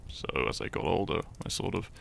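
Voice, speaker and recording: Casting: male actor as shy, earnest hero in fantasy audio drama, halting and theatrical, heavy vocal fry, faint background noise. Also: low-pitched, expressive, fairly bright tone, exaggerated voice. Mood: fond, conversational. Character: shy young hero, earnest, secretly fond of his foe. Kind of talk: roleplay audio drama, addressing the listener.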